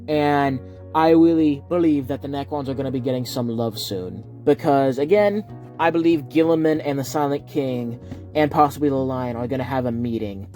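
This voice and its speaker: A man's voice: fairly high pitch, a little mellow. Southern guy, heavy lisp and speech impediment, rambling casual vlog talk, phone-recorded over faint music. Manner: rambling, earnest, with fillers. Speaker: YouTuber. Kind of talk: casual chat to viewers.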